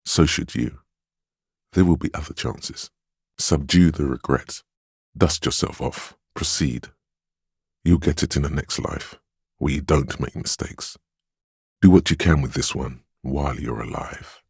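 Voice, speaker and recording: An actor playing a stern, steadfast inner voice of willpower in a video game, giving measured, solemn counsel and urging composure. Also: male voice, rumbling low, creaky, a little mellow, husky, no room sound, clean studio sound, exaggerated voice. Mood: grave, consoling. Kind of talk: dramatic video game voice acting.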